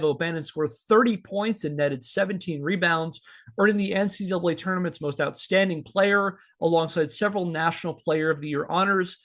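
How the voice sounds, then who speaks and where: A man's voice: high-pitched, a bit bright. A man hosting a podcast, explaining a story in a conversational but partly scripted way, with asides. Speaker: podcast host.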